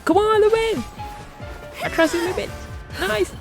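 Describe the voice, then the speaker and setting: A woman doing casual live commentary while she plays a game, excitable and exclamatory, often talking to herself. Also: very high voice, measured pace. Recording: faint game music behind voice. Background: game music.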